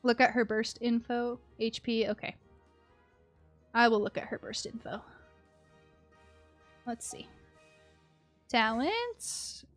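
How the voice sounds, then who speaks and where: A woman's voice: a little high, slow, long pauses. A woman streamer chatting casually and thinking aloud to herself as she plays a game. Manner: chatty, muttering to herself while reading. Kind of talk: casual live gameplay chat, thinking aloud. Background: music.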